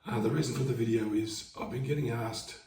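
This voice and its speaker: A man with a hoarse, fading voice, casually explaining how he plays guitar over backing tracks in a laid-back, rambling way. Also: mid-range voice, a little fast, darkish tone, far from the mic, slight background noise. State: hoarse, losing his voice. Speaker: market busker teaching guitar. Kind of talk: casual instructional talk. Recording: roomy sound, away from the mic, guitar playing behind.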